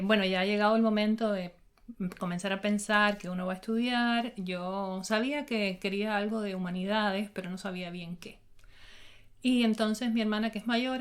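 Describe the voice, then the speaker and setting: Cuban woman professor answering thoughtfully. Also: mid-range voice. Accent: Cuban Spanish. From Cuba. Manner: thoughtful, many fillers, laughs easily. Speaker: linguistics professor and researcher. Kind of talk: casual interview answers.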